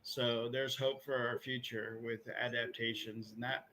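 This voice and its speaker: A man's voice: medium pitch, flat delivery, unhurried, sharp tone, poor audio. A man chatting casually with friends as a podcast co-host about films and games.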